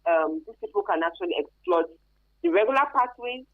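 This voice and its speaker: Nigerian lady on radio explaining stuff, really lively pitch swings, dull lo-fi sound. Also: brisk. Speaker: radio guest, anti-trafficking expert. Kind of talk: informal explanatory interview answers. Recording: muffled lo-fi radio sound, dry.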